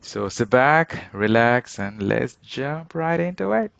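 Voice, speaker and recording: A man's voice: medium pitch, very animated, slow, gravelly, fairly bright tone, no room sound, highs cut off. A man hosting a podcast interview, speaking in a friendly way with slightly non-native phrasing. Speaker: podcast host. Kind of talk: casual interview.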